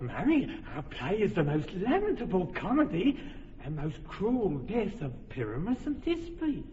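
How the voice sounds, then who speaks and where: An actor playing a fussy carpenter who directs amateur players, in an old radio drama. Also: male voice, sits high, huge pitch swings, unhurried, dark tone, full character voice. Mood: officious. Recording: dull lo-fi radio recording with reverb and faint noise.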